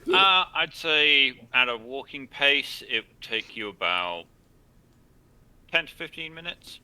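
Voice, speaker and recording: A man narrating and making rulings as game master, improvising in a halting way. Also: mid-range voice, lively intonation, pushed and bright, clear, resonant tone, poor audio.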